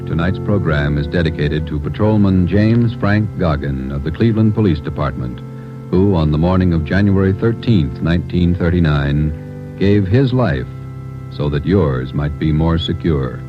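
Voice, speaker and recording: An American man announces in formal 1940s radio style. His voice is low, solemn and measured. Music plays clearly behind him on a muffled old recording. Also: expressive.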